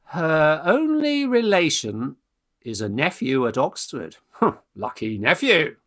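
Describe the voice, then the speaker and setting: Man as lovestruck young English student schemer for radio farce: bright, forceful, exclamatory, bossy, swooping in pitch. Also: sing-song, slow pace, full character voice. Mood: mock-pompous reading. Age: young adult. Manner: exclamatory, bossy, jolly old-fashioned slang. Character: brash, scheming young Victorian Oxford undergraduate in love. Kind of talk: theatrical radio farce acting.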